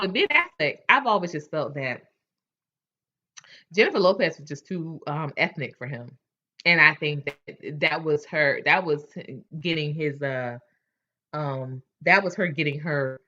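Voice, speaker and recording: A woman's voice: deep voice, sharp tone, muffled recording. A woman hosting a casual chat, candid and chatty, often restarting her sentences. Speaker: host.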